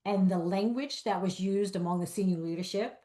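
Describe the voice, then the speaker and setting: A woman leads a conference fireside chat as moderator, her voice in a middle pitch. She talks in a lively way and keeps affirming her guest. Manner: lively and affirming, quick to agree. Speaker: interviewer, moderator.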